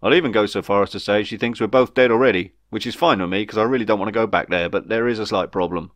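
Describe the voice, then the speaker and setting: A man's voice: medium pitch, sing-song, fairly quick, fairly bright tone, dry recording, voice pushed up. A man voicing an emphatic, colloquial, matter-of-fact shopkeeper character in a storybook. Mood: resigned, despairing. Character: gloomy bearded human shopkeeper, matter-of-fact and easily excited.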